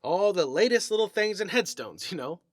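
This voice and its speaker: A man's voice: sits high, big pitch swings, normal speed, dry recording, clean studio sound. A man voicing a big, jovial, blunt working-class stonemason with a chatty, colloquial manner in a read-aloud short story. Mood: chatty, explaining.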